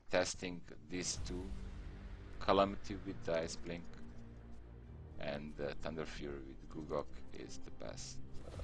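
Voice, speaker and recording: A man with a Croatian accent walks through a game tutorial in unhurried, halting English, full of pauses and restarts. His voice sits low. Faint music plays beneath him. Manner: halting, many fillers and restarts. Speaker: gaming tutorial creator.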